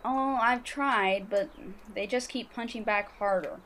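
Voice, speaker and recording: A woman's voice: on the high side, measured pace, fairly bright tone, slight background noise. A woman playing a young, bullied schoolboy, eager and naive, in an amateur audio drama. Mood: dejected, confiding.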